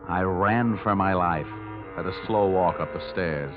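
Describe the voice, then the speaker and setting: An actor playing a wry, hard-boiled insurance investigator with an American accent and a sardonic manner, in an old radio detective drama. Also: male voice, low voice, a little slow. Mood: dry narration. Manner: wisecracking, sardonic. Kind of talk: 1940s-50s radio detective drama, narration and dialogue. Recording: dull vintage radio recording with music.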